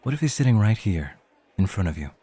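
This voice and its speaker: A man's voice: fairly low pitch, very animated, quick pace, mellow, breathy edge, a faint crowd. A man playing a young rookie club host in an ASMR roleplay, casual and cheeky, with bravado over self-doubt. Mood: cheeky, bold.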